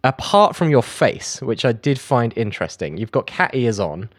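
A man's voice: medium pitch, big pitch swings, moderate pace, no room sound, studio quality. A man hosting a light-hearted radio comedy interview, with a playful, teasing manner. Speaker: radio host interviewing a guest.

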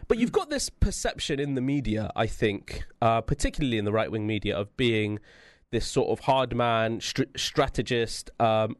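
A man's voice: mid-range voice, dry recording. Effusive male radio host, dry sound. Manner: effusive, halting introduction full of hesitations. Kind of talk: long-form political interview.

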